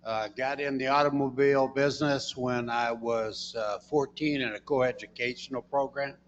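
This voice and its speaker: A man's voice: medium pitch, steady tone, moderate pace, long reverb tail. A man from Missouri introduces himself to a community meeting as a board candidate. He talks in a folksy way, dropping in "uh" between phrases. Faint room noise sits behind a dull recording. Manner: folksy, with "uh" fillers. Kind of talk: self-introduction speech.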